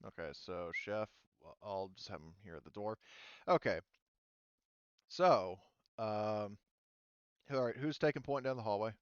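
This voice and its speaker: Man, game master, smooth low voice, casual explanations, thinking aloud, lo-fi dry audio. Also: animated, unhurried, no room sound. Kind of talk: casual tabletop game refereeing.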